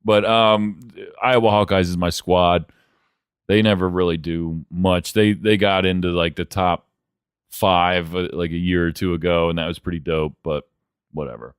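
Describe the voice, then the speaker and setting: Man, lowish clear voice, dry close sound, sweary, opinionated, casual sports co-host. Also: fairly even intonation, normal speed, no echo. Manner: profane, blunt, opinionated.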